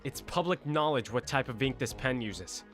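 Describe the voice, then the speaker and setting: A man's voice: medium pitch, expressive, moderate pace, a bit bright, faint music behind, natural voice. A man playing an earnest defense lawyer in an audio drama, urgent and easily flustered. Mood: insistent.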